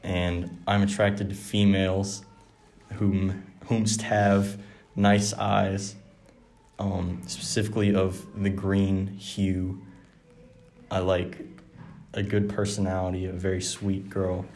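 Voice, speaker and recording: Flat, slow-talking teenage boy. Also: sits low. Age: teens.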